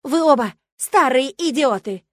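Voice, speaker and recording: A woman voicing a furious, spiteful younger woman in an audiobook, shouting accusations shrilly at her romantic rival. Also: high-pitched, animated, measured pace, no room sound, voice pushed up, heavily acted. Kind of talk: dramatic audiobook character dialogue.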